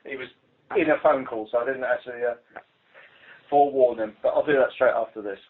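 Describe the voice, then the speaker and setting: A British man, a police officer, speaking hesitantly and informally on a work conference call over the telephone. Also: medium pitch. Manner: hesitant, halting. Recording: tinny phone conference line.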